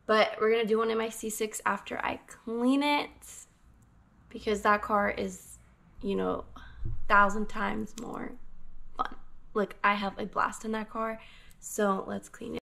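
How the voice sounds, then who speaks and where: A woman's voice: medium pitch, steady tone, measured pace, vocal fry, sharp tone. A young woman chatting casually in her garage for her car vlog, rambling with lots of fillers, recorded by a camera set on the floor. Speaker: car vlogger. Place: home garage, indoors.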